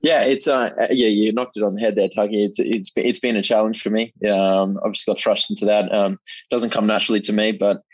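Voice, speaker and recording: A man's voice: on the low side, steady tone, a little fast, husky, no echo, muffled recording. A young man, a rugby player, answering interview questions modestly and repeating words as he thinks, heard over a remote line. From Zimbabwe.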